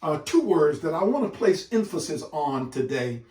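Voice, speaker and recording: A man giving an instructional address to parents as a literacy educator, speaking emphatically and deliberately with dramatic pauses. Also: fairly high pitch, very animated, a little mellow. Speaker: literacy educator hosting a read-aloud session for parents. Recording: echoey room, distant microphone.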